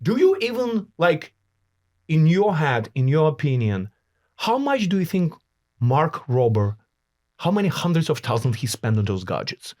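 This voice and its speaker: A man's voice: fairly high pitch, very animated, normal speed, darkish tone. A man who is a non-native English speaker, hosting a podcast with emphatic, dramatic, profane storytelling. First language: not English. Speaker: podcast host. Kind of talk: casual, profane podcast chat.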